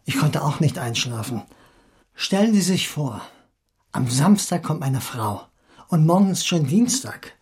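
Gushy middle-aged husband who never stops talking, highish male voice, really animated, radio play. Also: unhurried. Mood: friendly, elated. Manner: effusive, emphatic, garrulous. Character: effusive, talkative Russian émigré husband, smugly besotted. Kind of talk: German radio drama acting.

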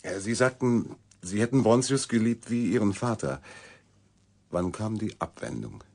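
A man's voice: fairly low pitch, expressive, normal speed, darkish tone. An actor playing a newspaper reporter in a radio drama, dry and wry, politely but persistently pressing with his questions. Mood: sober, incredulous. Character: probing, dryly wry male newspaper reporter. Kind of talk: German radio drama acting.

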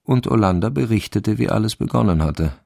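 A man narrating a radio crime drama in a measured, sober, literary way. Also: on the low side, expressive, vocal fry, very noisy. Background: music.

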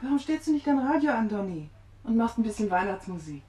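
Kindly quick-talking lady, roomy sound. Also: fairly high pitch, big pitch swings. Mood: gently cheerful. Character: kindly woman soothing a child at Christmas. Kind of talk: German radio drama acting. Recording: roomy, distant sound with music.

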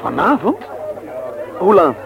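A defensive young man in a radio play. His high voice jumps about as he hesitates and protests, with crowd and music behind. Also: wildly swooping pitch, slow pace, vocal fry, muffled recording, full character voice. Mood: evasive. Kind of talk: Dutch radio drama acting.

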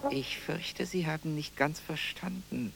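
An actor playing an insistent, pedantic earthquake scientist in a German radio play, speaking formally and urgently. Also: male voice, on the high side, a little slow, vocal fry, a bit bright, faint music behind, exaggerated voice. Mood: insistent, alarmed.

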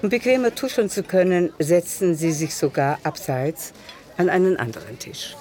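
A man's voice: sits high, lively intonation, normal speed, a little mellow. A man narrating a literary radio drama from a script.

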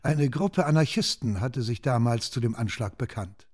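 Male narrator for radio documentary: factual, sober delivery, medium-pitched voice. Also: normal speed, a little mellow, breathy edge.

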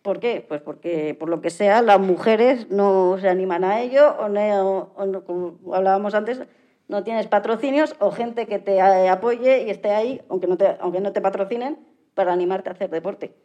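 A slightly lo-fi recording carries a woman's soft-toned voice. She speaks with a Spain accent as a guest in a casual interview. Her delivery is plain-spoken and direct, with a mellow edge. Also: mid-range voice, normal speed. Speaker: interview guest, adaptive sports athlete. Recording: slightly dull lo-fi audio.

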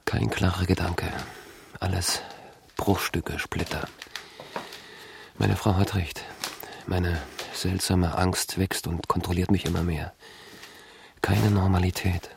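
Radio drama: tormented introspective male, low and gravelly, fragmented delivery, faint noise behind. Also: flat delivery, exaggerated voice. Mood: anguished, brooding. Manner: brooding, halting, fragmented phrases. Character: troubled man haunted by obsessive fragmentary memories. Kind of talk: radio drama acting, introspective monologue and dialogue.

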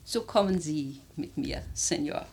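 An actress playing a strong-willed, life-loving old aristocratic grandmother in a classic German radio drama, speaking in an expressive, deliberate, literary way. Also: sits low, husky, heavily acted. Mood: lightly teasing. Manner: theatrical, deliberate, expressive, sometimes dropping to a whisper.

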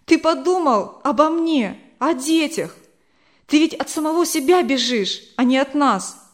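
A woman's voice: high-pitched, expressive, a little slow, pitched above the performer's own voice. A woman voicing an anxious wife in an audiobook, pleading with insistent emotion. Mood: urgent demand.

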